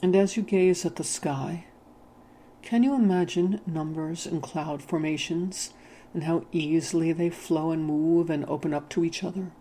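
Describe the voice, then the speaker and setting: Slow, mellow, soothing meditation voice. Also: medium pitch, a little hiss or hum. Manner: soothing, measured, gently instructing. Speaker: guided meditation narrator. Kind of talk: hypnotic guided meditation narration.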